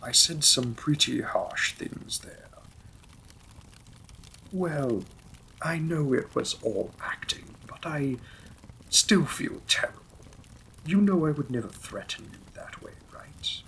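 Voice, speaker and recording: A man playing a possessive demon king and devoted lover in a romantic fantasy audio roleplay, often dropping his breathy voice to a whisper. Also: a little high, animated, slow pace, long pauses, sharp tone, a little hiss or hum, exaggerated voice, poor audio. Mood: tender, concerned.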